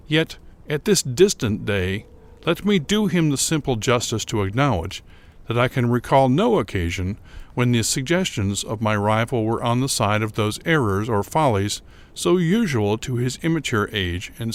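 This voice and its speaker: A man playing a troubled, bitter gentleman who narrates a gothic horror tale in a formal, deliberate, theatrical manner. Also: medium pitch. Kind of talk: dramatic first-person reading of gothic horror fiction.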